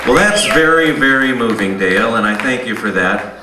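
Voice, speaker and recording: A man's voice: mid-range voice, lively intonation, normal speed, crowd noise, lightly acted. A man playing a folksy pastor who hosts a puppet show, soothing and patronizing in a sing-song way. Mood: folksy, gracious. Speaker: host. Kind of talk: theatrical mock religious show hosting. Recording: echoey live hall, distant, clear noise.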